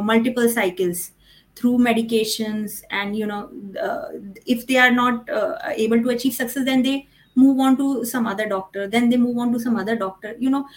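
Female medical expert for a podcast interview, Indian accent, slightly high voice, explains at length. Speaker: fertility doctor, podcast guest.

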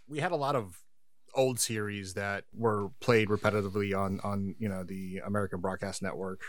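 Lowish friendly storytelling guest. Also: male voice, steady tone, normal speed, no room sound. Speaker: podcast guest. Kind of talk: casual conversation.

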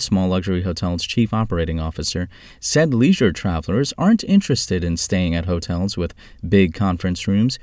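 A man with a fairly low voice delivers scripted news as a host. The recording is close and dry, with very little room sound. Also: darkish tone, no echo.

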